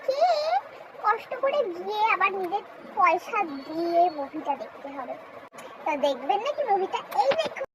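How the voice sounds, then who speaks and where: A young woman chatting playfully and self-mockingly in a casual vlog, her voice altered by a high-pitched filter. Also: very animated, normal speed, background noise. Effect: high-pitched voice-changer filter. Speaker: social media video creator.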